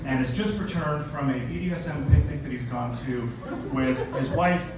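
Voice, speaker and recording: A man's voice: fairly high pitch, normal speed. A man, a novelist, speaking to introduce and read his fiction at a live indoor literary event. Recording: echoey room from a distance, noisy.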